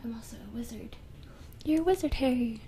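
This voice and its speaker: A young woman whispering softly and unhurriedly into a close microphone in a fantasy ASMR roleplay. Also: fairly high pitch, a little fast, a little hiss or hum. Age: young adult. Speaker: ASMR video host. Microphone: close studio microphone on a stand.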